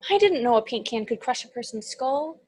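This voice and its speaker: A woman's voice: a little high, expressive, brisk, darkish tone, breathy edge. A woman playing a childlike, naive and eager demon in a comedy table read, with an earnest, innocent manner. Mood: anxious, apologetic. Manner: innocent, earnest, sweetly matter-of-fact.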